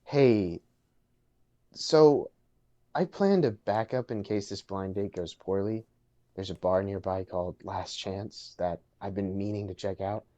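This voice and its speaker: A man's voice: low voice, unhurried, dark tone. A man playing an awkward, chatty young man in a casual dramatic reading, hesitant and stammering. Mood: friendly, hesitant. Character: awkward, chatty young man named Bartram on a blind date.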